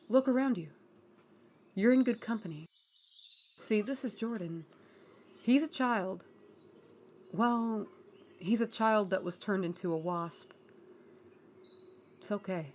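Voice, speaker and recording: A woman's voice: on the high side, darkish tone, a little hiss or hum. Woman acting earnest young rebel princess of a fantasy tale. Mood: soothing. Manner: polite and apologetic to strangers, exasperated with sister. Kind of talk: fantasy audio roleplay acting.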